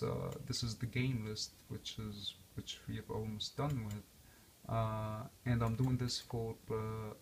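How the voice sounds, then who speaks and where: Young man with an accent, gravelly tone, relaxed chatty vlogger who rambles and restarts. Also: fairly low pitch, a little hiss or hum. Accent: non-native English accent. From born and raised outside the US. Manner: rambling with many fillers and restarts. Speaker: vlogger reviewing a game console. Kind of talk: casual unscripted walkthrough.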